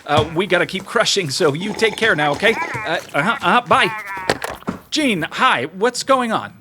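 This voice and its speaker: An actor playing a nervous, earnest, overeager small-business marketer in an audio drama, hesitant, pleading and prone to stammering. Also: male voice, high voice, expressive, normal speed, background noise. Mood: anxious, placating.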